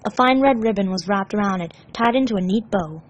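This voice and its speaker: A woman's voice: mid-range voice, fairly quick. A woman narrating a scripted story as a fan fiction reader.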